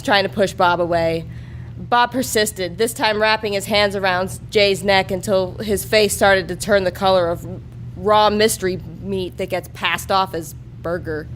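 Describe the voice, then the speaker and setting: A woman narrates a bawdy comic story live on stage. Her pitch is average for a woman. Some noise from the venue sits behind her voice. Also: fairly bright tone, rough recording. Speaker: story reader and performer. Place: live show before an audience.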